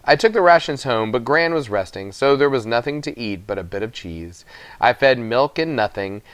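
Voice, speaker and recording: A man's voice: medium pitch, expressive, moderate pace, clear, resonant tone, dry recording. Man reading a storybook, dry sound. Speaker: audiobook reader. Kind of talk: expressive storybook narration.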